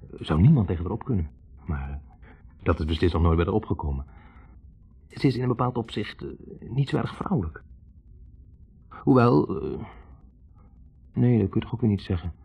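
A hesitant, husky young man. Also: on the low side, exaggerated voice. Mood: tender, searching. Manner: hesitant, self-correcting, reflective. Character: young male physics student recalling a troubled love. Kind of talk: 1960s radio play, conversational monologue. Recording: muffled old radio recording.

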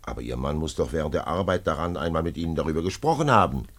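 An actor playing a calm, dogged middle-aged French police commissioner in a 1950s German radio drama, questioning in a measured, terse way. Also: male voice, rumbling low. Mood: insistent, probing. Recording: noisy vintage 1950s radio recording.

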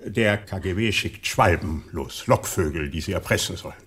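Dry embassy security officer, low voice, husky, formal instructing, radio drama. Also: male voice, normal speed, a bit bright. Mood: officious. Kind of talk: radio play acting.

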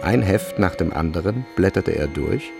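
A man narrates a literary radio play. His voice is low with a marked creak. The delivery is measured and expressive, with music playing behind it.

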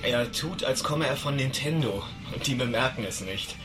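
An actor playing a calm, matter-of-fact male agent who reports in a measured way in a German radio drama. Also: mid-range voice, music in the background, natural voice. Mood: factual. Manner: factual, measured, dry.

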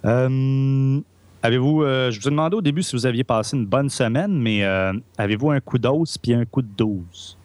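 A man's voice: mid-range voice, normal speed, darkish tone, no room sound. A man with a Québécois French accent hosting a casual podcast chat, hesitant, with many fillers and restarts. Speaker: podcast host.

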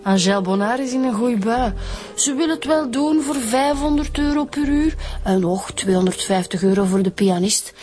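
Actress doing brisk wry lady cop in a radio play, highish voice, natural. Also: lively intonation, a little mellow, soft background music, close to an everyday voice. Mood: dry reporting. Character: brisk female homicide detective, wry and quick. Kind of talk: naturalistic radio drama acting.

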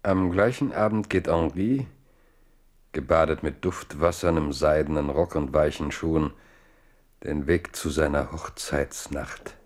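Radio drama narrator, really deep voice, measured, a bit wry, bookish storytelling. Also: male voice, a little mellow. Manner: measured, dryly ironic. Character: measured, wry literary narrator of historical tale. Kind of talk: scripted radio play narration.

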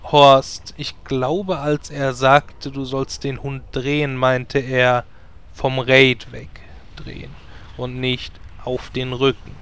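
A man's voice: medium pitch, flat delivery, slow pace, a bit bright, clear, resonant tone. A man playing a sceptical adventurer who comments and explains drily in a comedic audio drama parody. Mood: dry, explaining. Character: wry, sceptical male adventurer sidekick.

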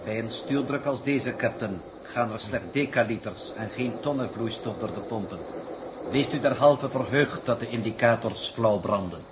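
An actor playing an unruffled, pedantic space mission coordinator, speaking formally and precisely in a 1960s radio drama. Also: male voice, mid-range voice, normal speed, natural voice. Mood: coolly pedantic. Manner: formal, stilted, precise wording. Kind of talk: 1960s Dutch science fiction radio drama acting. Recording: noisy vintage radio drama recording.

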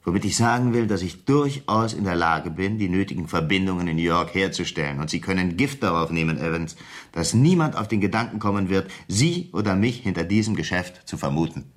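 Low-voiced, ruthless, vain schemer. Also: male voice, animated, fairly quick. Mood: confident, scornful. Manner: commanding, coolly self-assured. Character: vain, bitter, ruthless male schemer hungry for respect. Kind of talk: 1970s German radio crime drama.

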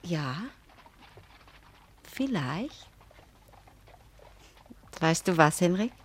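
Radio drama: earnest, affectionate young wife; lowish pitch, expressive swings, confiding delivery. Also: female voice, extremely slow, exaggerated voice. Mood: hesitant, tender. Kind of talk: German radio play acting.

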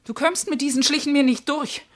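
A woman playing a sharp-tongued, scorned servant wife in a German classical verse radio drama, with emphatic theatrical delivery. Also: high voice, steady tone, brisk, lightly acted. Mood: bitter, defiant. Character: sharp-tongued, scorned servant wife, scolding yet devoted to her mistress.

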